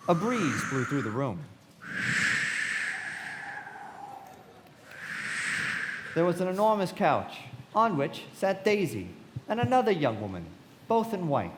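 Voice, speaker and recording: Casting: reflective young bond man narrating a radio play, lively higher voice, reverberant hall. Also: moderate pace, heavy background noise. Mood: polite. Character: reflective well-bred young bond salesman narrating his memories. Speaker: lead actor and narrator. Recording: echoey hall, live stage.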